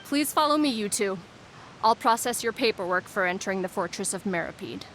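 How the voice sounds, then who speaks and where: A woman voicing a brisk, cheerful young female guard in a video game, polite and a little teasing. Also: medium pitch, expressive, noisy background, natural voice.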